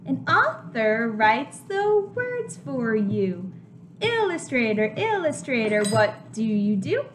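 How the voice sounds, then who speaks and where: A woman in her twenties, a preschool teacher reading a picture book aloud to small children in an animated, simply explaining way. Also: on the high side, slow, slight background noise. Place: home kitchen, indoors.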